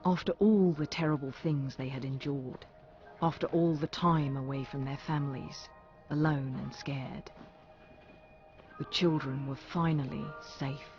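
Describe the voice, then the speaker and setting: A man narrating a fantasy adventure game as a solemn, measured storyteller. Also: fairly high pitch, steady tone. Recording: dull sound under clear music. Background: music.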